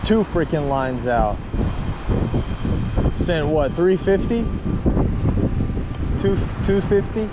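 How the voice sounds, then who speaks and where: A man's voice: on the high side, lively intonation, slow pace, pauses often, very noisy. A man chatting casually outdoors as a fishing companion. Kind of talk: casual chat. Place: open windy beach.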